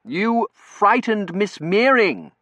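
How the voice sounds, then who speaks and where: A narrator voicing an earnest, courtly young gentleman suitor, formal and eager to please, in a storybook reading. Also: medium pitch, sing-song, normal speed, no echo, voice pushed up. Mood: stern, apologetic. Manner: polite, formal, fervent.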